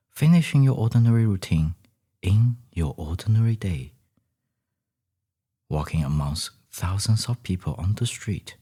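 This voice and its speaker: A man narrating a scripted story in a gentle ASMR storytelling style, with deliberate phrasing and a breathy, husky voice that often drops toward a whisper. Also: sits low. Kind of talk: scripted second-person bedtime storytelling, ASMR.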